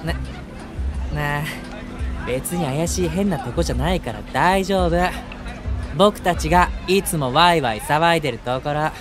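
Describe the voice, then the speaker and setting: An actor playing a playful, impulsive nineteen-year-old delinquent in an audio drama, with boyish, excitable, pouty delivery. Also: male voice, fairly high pitch, unhurried, over music, a crowd in the background, natural voice. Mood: eager. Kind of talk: Japanese audio drama acting.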